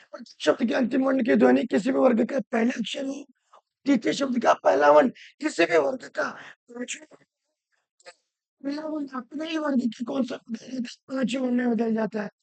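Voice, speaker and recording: A woman teaching Hindi grammar, hoarse from a cold, asking questions and answering them herself while repeating the rules loudly. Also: high-pitched, moderate pace, no room sound. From Rajasthan. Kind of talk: classroom-style lesson.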